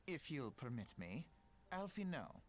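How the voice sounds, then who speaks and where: Courteous young scholar. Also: male voice, mid-range voice, sing-song, a little slow, slight background noise. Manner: courteous, formal introductions. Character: polite young male scholar, earnest.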